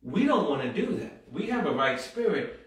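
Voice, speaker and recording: A man's voice: on the high side, sing-song, moderate pace, a bit bright. Echoey fervent male preacher. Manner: builds to fervent, rising exhortation; frequent amens and call-and-response. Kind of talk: sermon with Bible reading. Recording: very echoey room from far off.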